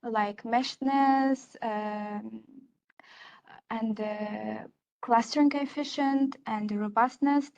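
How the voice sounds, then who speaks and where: A woman's voice: on the high side, fairly even intonation, slow pace, vocal fry, darkish tone, highs cut off, slight background noise. A young woman gives an academic conference talk on her research, speaking hesitantly with many fillers and restarts. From Kazakhstan. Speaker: research assistant presenting her research.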